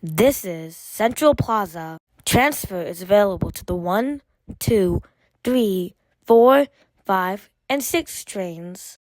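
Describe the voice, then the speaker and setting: A woman reading scripted train announcements as an automated announcer, in measured, formulaic phrases with separate segments. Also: mid-range voice, lively intonation, darkish tone, no echo, low-quality recording.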